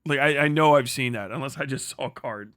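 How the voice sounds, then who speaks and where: A man's voice: medium pitch, fairly quick, fairly bright tone, no echo, studio quality. A man livestreaming a casual, rambling rant, full of profanity and slang, often stammering over repeated words. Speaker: live streamer, online commentator.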